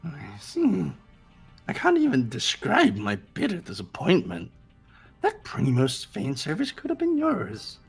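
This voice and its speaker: Casting: small evil mascot bear, husky, wildly swooping pitch, mock-wounded and gleefully taunting, game villain. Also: huge pitch swings, a little slow, faint music behind, heavily acted. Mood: bitterly disappointed. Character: evil little mischievous bear monster, gleefully mocking villain. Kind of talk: fan-dubbed video game character voice.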